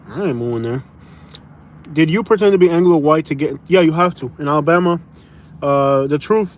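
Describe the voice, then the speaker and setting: A young man from Florida talks loosely in a live Q&A, circling back over his points and tossing in "bro". His voice sits a little high. A faint noise floor runs under the recording. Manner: rambling, repeats himself and restarts, says "bro". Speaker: live-stream host answering viewer questions. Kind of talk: casual live Q&A chat.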